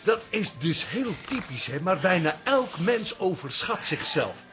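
An actor in a radio comedy playing a pompous, self-satisfied professor with a pedantic manner. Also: male voice, high voice, sing-song, measured pace, music in the background. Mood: lecturing. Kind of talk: radio comedy drama acting.

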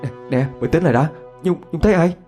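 A man's voice: a little high, fast, mellow, faint music behind, voice pushed up, close to an everyday voice. A man playing a young male student in a ghost story, a concerned friend who talks colloquially and hesitantly. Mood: urgent.

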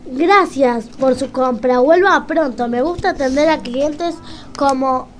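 A woman's voice: sits high, unhurried, slight background noise. A woman playing a polite, grateful shopkeeper in an amateur radio play, speaking with formal courtesy to a customer.

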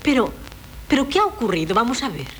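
Coy, theatrical young wife, noisy recording. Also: female voice, a little high, very animated, fast, a bit bright. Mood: flustered. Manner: theatrical, hesitant, plaintive delivery. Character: young wife feigning madness, coy and dramatic. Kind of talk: 1970s Spanish radio theatre acting. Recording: noisy 1970s radio recording.

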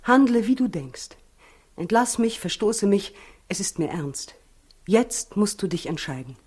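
An actress in a 1960s German radio play as an earnest expectant young wife, soft and breathy, pleading and insistent. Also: female voice, mid-range voice, expressive, measured pace. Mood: firm, challenging.

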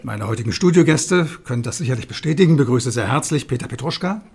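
An actor playing a solemn male television talk show host in a radio drama, speaking formally and gravely with deliberate pauses. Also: mid-range voice, lively intonation, a little mellow. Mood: solemn welcome. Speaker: TV presenter. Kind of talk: radio drama, staged studio presentation.

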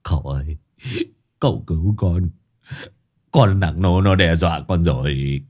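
A man's voice: a bass voice, animated, brisk, darkish tone, husky, pitched below the performer's own voice, heavily acted. A man voicing a crude, blustering male thug who swears coarsely and whines when he is scared. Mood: whining.

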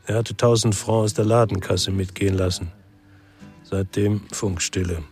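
Low-voiced brooding ex-cop played by a man. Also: steady tone, moderate pace, a little mellow, faint music behind, lightly acted. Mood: reflective. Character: brooding male ex-policeman narrating his own crime story. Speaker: first-person narrator and lead actor. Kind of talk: literary radio crime drama narration.

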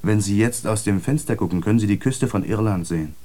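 A man's voice: lowish voice, brisk, mellow, close to an everyday voice. A man acting in a German radio drama as a courteous, modest airline steward chatting with a passenger. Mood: polite, modest. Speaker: actor.

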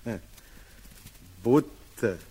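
A man's voice: very slow, mellow. A man voicing a skeptical but kindly military commander in a children's audio tale.

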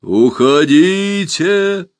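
A man voicing a slow-witted forest monster that roars short threats in a hollow voice. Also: high voice, expressive, no room sound, voice pushed up, heavily acted. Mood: roaring. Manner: slow, loud, blunt roaring. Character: dim bellowing forest monster guarding a spring.

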